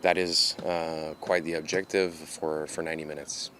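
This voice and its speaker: Pro athlete, French Canadian man, very deep and even delivery, halting press answers. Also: a little hiss or hum. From Montreal, Canada. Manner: measured, halting, many filler pauses. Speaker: pro soccer goalkeeper.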